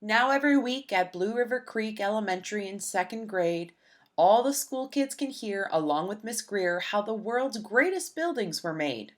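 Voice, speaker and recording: A woman narrating a rhyming children's storybook aloud in an expressive, rhythmic, dramatic way. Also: medium pitch, normal speed. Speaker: storybook reader.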